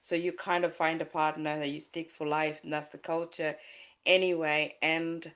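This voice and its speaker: A low-pitched woman from Sri Lanka speaks as a guest on a podcast. She talks candidly and haltingly, often restarting her sentences and stopping on fillers as she works through a personal story. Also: flat delivery, fairly bright tone. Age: adult with a child. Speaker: life coach, guest.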